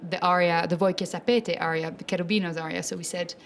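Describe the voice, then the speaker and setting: A woman with a Swiss French accent, native French speaker, talks eagerly and warmly. She is a mezzo-soprano describing her work, and she laughs often as she speaks. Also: fairly low pitch, steady tone, fast talker, slight background noise. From French-speaking Switzerland. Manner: enthusiastic, warm, laughs often. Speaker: mezzo-soprano and children's opera workshop leader. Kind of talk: festival interview.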